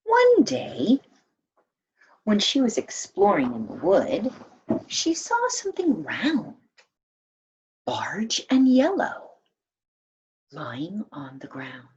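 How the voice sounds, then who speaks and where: A woman reading a children's story aloud in an expressive, deliberate manner with dramatic emphasis. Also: medium pitch, breathy edge. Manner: animated, deliberate, dramatic emphasis for young listeners. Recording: dull, lo-fi sound.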